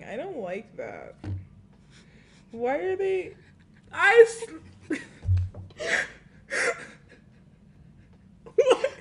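A woman's voice: sits high, fairly bright tone, slight background noise. A bubbly, giggly woman co-hosting a casual podcast chat, rambling freely. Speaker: podcast co-host.

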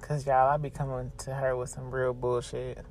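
A woman's voice: very deep voice, little pitch movement, moderate pace, a little mellow, slight background noise. A woman podcast host chatting casually and confessionally, blunt, slangy and freely swearing.

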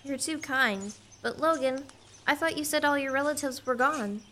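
Radio play role: earnest young countrywoman female actor, very high, bright, forward voice. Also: moderate pace. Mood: friendly, curious. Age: young adult. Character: kind, eager, pretty young woman, rancher's helpful friend. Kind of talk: family radio play acting.

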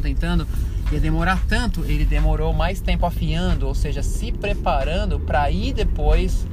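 A middle-aged man with a Brazilian Portuguese accent talks through his thoughts in a casual podcast monologue, friendly and conversational, with lots of fillers and restarts. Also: on the high side. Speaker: podcast host and Portuguese teacher. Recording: music playing clearly in the background.

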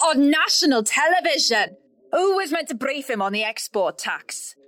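A woman an indignant political staffer in a comedy drama. Her high voice is very animated and alarmed, recorded dry. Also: moderate pace, no room sound. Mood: appalled. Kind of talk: comedy audio drama dialogue.

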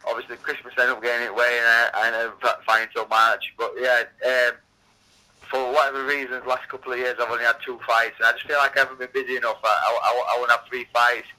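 A man's voice: mid-range voice, flat delivery, fast, bright, forceful tone, rough recording. A man of thirty with a Yorkshire accent, a fighter chatting as a guest, often repeating words as he restarts sentences. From England. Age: 30s. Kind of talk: casual phone interview.

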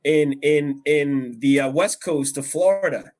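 A man's voice: on the high side, unhurried, darkish tone. A man in his late forties, a non-native English speaker, telling stories casually as a podcast co-host. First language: not English. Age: 40s. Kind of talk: casual chat, joking storytelling.

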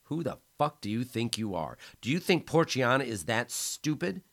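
A man's voice: fairly low pitch, animated, measured pace, sharp tone, voice pushed up, full character voice. A man voicing a cruel male enforcer who sneers and threatens. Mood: menacing. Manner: threatening, sneering, then falsely soothing.

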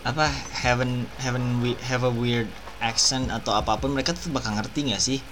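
A young Indonesian man talks in a loose, slangy way and often slips into English. He speaks at a mid pitch and keeps things easygoing. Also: moderate pace, background noise. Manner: relaxed, slangy, switches into English. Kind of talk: casual chat.

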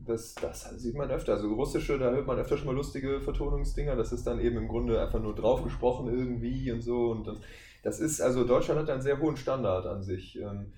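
A man's voice: mid-range voice, brisk, dark tone. A man speaking as a podcast guest, explaining things casually and at length in a discursive, circling way. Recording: echoey room, faint background noise.

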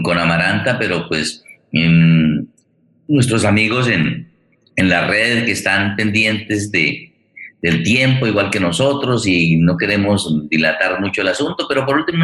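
A man moderates a conversational interview in a low voice with a bright, forceful edge. The sound is noticeably echoey. He is courteous and roundabout, pausing and restarting as he works toward a question. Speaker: host, forum moderator. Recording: echoey, reverberant room sound.

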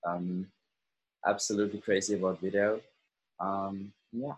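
A man's voice: deep voice, measured pace, husky, rough recording. An eighteen-year-old man from Finland, a podcast guest talking casually with lots of ums and hesitations. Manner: relaxed, many ums and hesitations. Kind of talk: casual interview chat.

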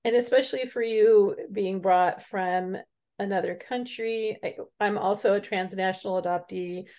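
A woman hosting an interview, speaking in a measured, reflective, deliberate way. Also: medium pitch, steady tone, mellow. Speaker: interview host. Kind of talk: interview conversation.

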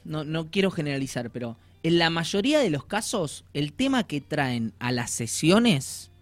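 A man's voice: fairly high pitch, sing-song, unhurried, no room sound. A man with an Argentine accent talking as a coach, explaining things conversationally with informal slang and imagined quotes. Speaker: life coach, guest.

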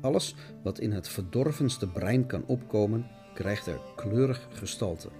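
Theatrical male narrator with swooping pitch. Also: expressive, unhurried, dark tone, faint music behind. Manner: melodramatic, mock-dramatic, declamatory. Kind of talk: theatrical scripted radio-essay narration.

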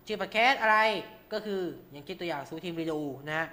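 A man's voice: high voice, fast, pushed and bright. A man hosting an informal tutorial, talking through each step with frequent polite particles. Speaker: radio show host.

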